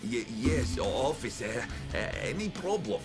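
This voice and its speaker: Nervous, stammering video game crook. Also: male voice, mid-range voice, sing-song, unhurried, creaky, music in the background. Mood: nervous, pleading. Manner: stammering, hesitant. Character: nervous, stammering male criminal caught by police. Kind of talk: video game cutscene acting.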